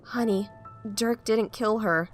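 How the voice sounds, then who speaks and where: A woman voicing a gentle, grieving man who speaks softly and pleads. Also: mid-range voice, slow pace, soft background music. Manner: soft, pleading.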